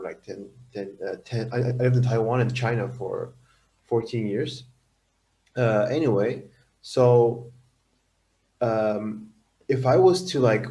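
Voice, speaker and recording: A man's voice: medium pitch, a little mellow. A young man, a student speaking in a class discussion, rambling and restarting his sentences with "um" and "like".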